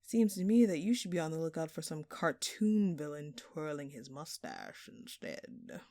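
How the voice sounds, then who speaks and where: A woman's voice: low voice, sing-song, normal speed, mellow, dry recording, studio quality. A woman playing a smug, obnoxiously flirtatious thief in an audio roleplay, drawling and teasing in a sultry, mock-innocent way. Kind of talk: audio roleplay acting addressed to the listener.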